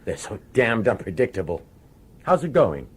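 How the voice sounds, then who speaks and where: A man's voice: lowish voice, sing-song, normal speed, slightly rough, full character voice. An actor playing a brusque, breezy police commissioner in a radio drama, casual and dismissive with his subordinate. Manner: casual, dismissive bossy talk.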